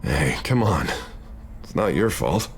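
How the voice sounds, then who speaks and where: A wounded politician in a dramatic game. His deep voice moves slowly and swings widely in pitch, wry and self-deprecating over bruised pride, with faint noise behind. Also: lively intonation, exaggerated voice. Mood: numb, hopeful. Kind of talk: dramatic video game voice acting.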